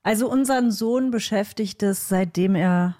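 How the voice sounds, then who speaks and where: A woman's voice: mid-range voice, normal speed, mellow, dry recording, studio quality. A woman co-hosting a podcast, chatting in a direct, conversational way. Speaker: podcast co-host. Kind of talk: casual chat.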